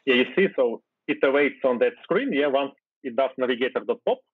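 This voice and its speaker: A man's voice: medium pitch, animated, brisk, slightly rough, no room sound. A man whose first language is Ukrainian, in his thirties, walking through his code on a video call, thinking aloud with fillers and restarts. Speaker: guest software developer. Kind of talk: casual screen-share coding chat. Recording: tinny phone-like video call audio.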